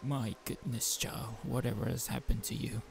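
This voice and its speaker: A man's voice: medium pitch, fairly even intonation, moderate pace, creaky, background noise. A man voicing an aging, fatherly priest in a visual novel, solemn and caring in manner. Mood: concerned. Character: aging male priest, concerned, gentle, fatherly. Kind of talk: amateur visual novel voice acting.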